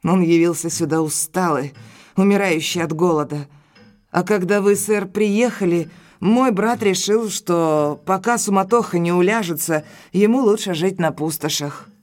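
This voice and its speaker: A woman's voice: on the low side, normal speed, a little mellow, close to an everyday voice. An actress playing a middle-aged, earnest and distraught housekeeper pleading for her family in an audio drama. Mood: sorrowful. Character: stout frightened housekeeper, loyal, pleading, sorrowful older sister. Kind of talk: Russian audio drama acting.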